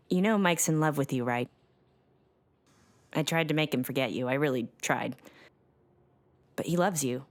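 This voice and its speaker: An actress playing a composed, caring Chinese woman intelligence officer in an audio drama. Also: deep voice.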